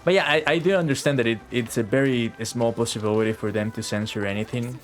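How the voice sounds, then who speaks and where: A man giving casual live commentary as a game streamer, reacting out loud and swearing freely. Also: medium pitch, fast talker. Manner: profane, reactive, talks to game and viewers. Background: game music.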